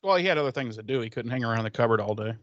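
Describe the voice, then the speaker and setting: A man's voice: medium pitch, lively intonation, very fast, fairly bright tone, dry recording. Jokey man bantering, dry lo-fi sound. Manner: jokey, sweary, mock-quoting. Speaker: podcast co-host. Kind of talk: casual banter.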